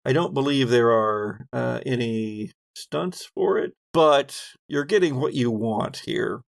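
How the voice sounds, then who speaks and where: A man narrating a tabletop game as game master, improvising in a deliberate, halting, dramatic way. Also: medium pitch, vocal fry, dry recording, studio quality.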